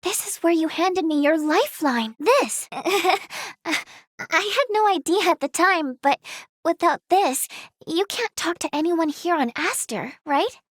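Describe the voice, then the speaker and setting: A woman playing an eager, kind-hearted young female healer in a fantasy video game, earnest, expressive and girlish in manner. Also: very high-pitched, a little slow, no echo, clean studio sound. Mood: thoughtful. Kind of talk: video game character acting.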